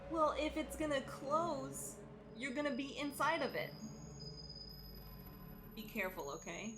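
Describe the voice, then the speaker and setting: A woman's voice: sits high, lively intonation, a little slow, over music, pitched above the performer's own voice. A woman acting cautious, worried young girlfriend-in-peril, urgent. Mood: worried warning. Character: cautious, worried young female friend in horror game.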